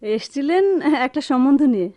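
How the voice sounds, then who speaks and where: A woman playing a calm, practical middle-aged wife and mother in a radio drama, gentle and measured. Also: sits high, no room sound. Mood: calm, hopeful. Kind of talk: Bengali radio drama acting.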